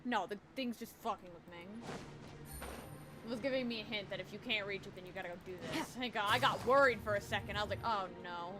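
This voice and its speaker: A woman streamer talks casually over a video game with clear music behind her. Her voice is mid-pitched, and her manner is blunt, exasperated and full of swearing. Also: quick pace. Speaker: gaming streamer. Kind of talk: casual gameplay commentary.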